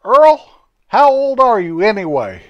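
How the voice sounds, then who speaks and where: A man voicing an excitable, chatty little boy in a children's story. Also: sits high, a little slow, smooth, dull audio. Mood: eager.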